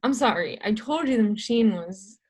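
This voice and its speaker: A woman's voice: fairly high pitch, animated, normal speed, darkish tone, natural voice. Woman playing a guarded, weary old astronomer. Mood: weary, apologetic. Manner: hesitant, terse, evasive. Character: reluctant, guarded, ageing astronomer mentor, weary and evasive. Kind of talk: readers theatre stage reading.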